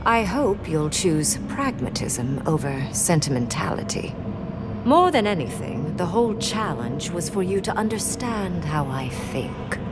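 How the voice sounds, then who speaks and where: A woman playing a coldly pragmatic, curious archmage in a video game, speaking in a measured, aloof manner. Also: low voice.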